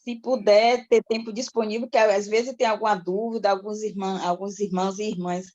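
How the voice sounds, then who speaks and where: A woman's voice: mid-range voice, little pitch movement, normal speed, a little mellow. A woman from Salvador, moderating a prayer group and politely welcoming a guest speaker over a video call. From Salvador, Bahia, Brazil. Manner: polite, respectful. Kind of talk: formal welcome and introduction. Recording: muffled video call audio.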